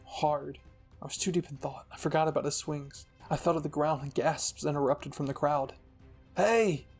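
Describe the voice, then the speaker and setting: Husky, gravelly male storyteller. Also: fairly high pitch, level tone, moderate pace, a little mellow. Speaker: storyteller and channel host. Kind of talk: dramatic scripted fan-fiction narration. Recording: music behind the voice.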